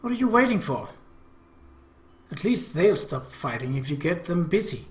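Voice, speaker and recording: A man's voice: on the high side, big pitch swings, normal speed. A man voicing a tactless schoolgirl classmate from a visual novel, blunt and mocking. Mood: blunt, teasing. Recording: echoey room.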